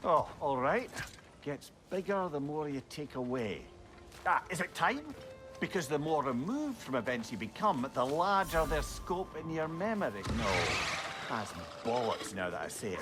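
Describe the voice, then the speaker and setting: Old Scottish sage for a game: eloquent, wry, fairly high voice with animated swings, faint music behind. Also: male voice, normal speed. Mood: musing. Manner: eloquent, musing, chatty. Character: wry, talkative old male sage companion. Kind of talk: video game character acting.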